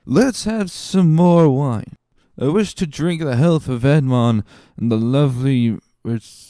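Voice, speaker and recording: A man voicing a good-hearted, stubborn drunk in a dramatic reading, with slurred, drawn-out speech. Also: mid-range voice, warm tone, no echo, clean studio sound, voice pushed up, close to an everyday voice. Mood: merry, drunk.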